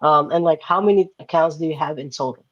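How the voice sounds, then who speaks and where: A man's voice: fairly high pitch, fast, no room sound. Man from the Philippines, casual interview talk, filler-heavy, dull lo-fi sound, dry. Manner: loose, filler-heavy explaining with frequent "like" and "right?". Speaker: guest entrepreneur.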